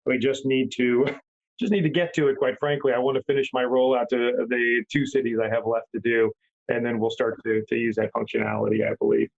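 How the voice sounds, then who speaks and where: A man's voice: medium pitch, flat delivery, fairly quick, creaky, muffled recording. Earnest man describing his tour business. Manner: earnest, with frequent "uh"s and restarts. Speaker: tour company executive. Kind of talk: casual podcast chat.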